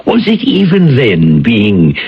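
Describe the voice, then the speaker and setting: An actor playing a theatrical old bachelor count in a radio drama, speaking in grandiose, deliberate, coaxing phrases. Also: male voice, medium pitch, wildly swooping pitch, slightly rough, heavily acted. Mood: fervent, insistent. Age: older. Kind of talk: 1980s radio drama acting. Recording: muffled, lo-fi radio drama recording.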